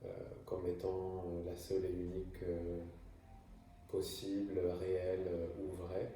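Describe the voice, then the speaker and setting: A man's voice: low-pitched, completely flat delivery, a little slow, mellow, far from the mic, a little hiss or hum. A man from France speaking alone to camera in an improvised, hesitant monologue, with frequent fillers and restarts. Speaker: video creator. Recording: echoey room, voice from afar.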